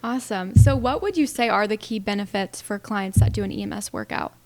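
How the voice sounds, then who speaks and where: A woman's voice: mid-range voice, fairly quick, darkish tone, slight background noise. A woman hosting a podcast interview who asks questions and responds with short upbeat affirmations. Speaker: podcast host.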